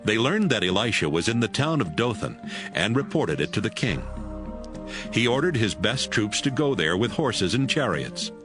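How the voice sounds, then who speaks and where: A man's voice: fairly low pitch, sing-song, moderate pace. A man narrating a dramatized Bible audiobook from a script. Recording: music behind the voice.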